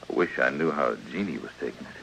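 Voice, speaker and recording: Radio western blacksmith: earnest family man, deep pitch with big swings, rustic, faintly noisy. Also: expressive, fast, gravelly, fairly bright tone, exaggerated voice. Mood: downcast, pleading. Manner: earnest, plain rustic speech. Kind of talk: old-time radio western drama acting. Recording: noisy old radio drama recording.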